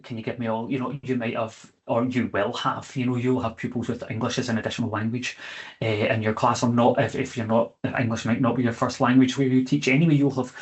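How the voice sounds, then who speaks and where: A man, a chemistry teacher presenting an online training session in a conversational way, often checking in with "okay?" and filling pauses with "eh". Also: medium pitch, flat delivery.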